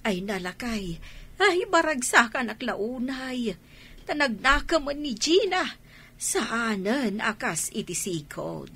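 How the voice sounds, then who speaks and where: A woman's voice: a little high, sing-song, takes it slowly, a bit bright, husky, full character voice. A woman playing a loving, forgiving elderly mother in a Philippine radio drama. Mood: tender, relieved. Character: loving, forgiving elderly mother welcoming her daughter home.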